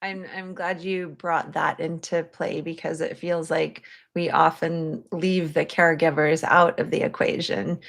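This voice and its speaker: Low-voiced, creaky woman interviewer. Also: flat delivery, unhurried, hardly any pauses, a bit bright. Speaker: podcast host. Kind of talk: conversational interviewing.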